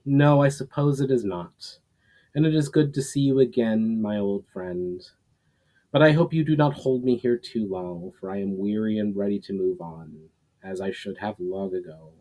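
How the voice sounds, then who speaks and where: A man's voice: on the low side, normal speed, warm tone, natural voice, low-quality recording. A man voicing a weary, repentant fallen warlord in a fantasy story, with formal, earnest, world-weary phrasing.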